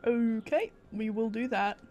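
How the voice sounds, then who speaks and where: A woman streams a game with casual, playful commentary. Her voice is on the high side and takes its time. Faint music plays behind her reactions. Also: unhurried, darkish tone, dull audio.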